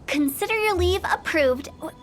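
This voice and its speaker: A woman's voice: very high-pitched, expressive, normal speed, soft background music. A woman playing an impish young funeral parlor director in a video game, with a breezy, theatrical, teasing manner. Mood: cheerful, matter-of-fact. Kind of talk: English game voice acting.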